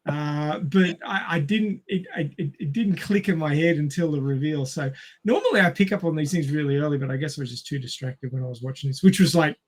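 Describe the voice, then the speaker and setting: A man with a notably high voice in a casual podcast film discussion. He talks in a wry, jokey manner, like one of a regular panel. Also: a little fast, hardly any pauses.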